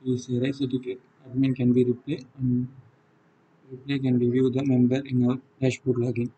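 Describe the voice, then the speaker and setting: A man with a South Indian accent and a husky voice, giving an unscripted software walkthrough in halting, non-fluent phrases. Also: medium pitch, monotone, dull audio. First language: Tamil. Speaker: software demonstrator. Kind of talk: unscripted screen-recorded tutorial walkthrough.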